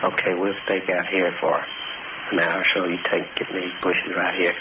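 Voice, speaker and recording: Deep, monotone patrol leader. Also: male voice, moderate pace. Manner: low-key, terse instructions. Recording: muffled, noisy field recording.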